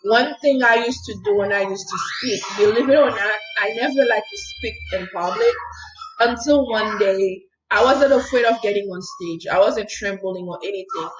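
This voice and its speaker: A woman healer giving an earnest motivational talk, telling a personal story in a soft, lilting voice and often checking in with "okay?". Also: mid-range voice, normal speed, highs cut off. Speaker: spiritual healer and mindset coach.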